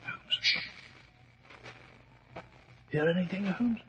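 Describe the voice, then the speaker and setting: Casting: flustered, blustering radio-drama sidekick, high-pitched and very bright, faintly noisy 1940s recording. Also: male voice, huge pitch swings, very slow, muffled recording, exaggerated voice. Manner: blustering, hesitant, easily startled.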